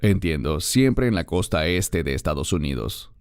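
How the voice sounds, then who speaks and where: A man hosting a faith interview program, welcoming viewers and asking his guest measured, courteous questions. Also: low-pitched, sing-song, a little mellow, clean tone, no room sound. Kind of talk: scripted host introduction and interview questions.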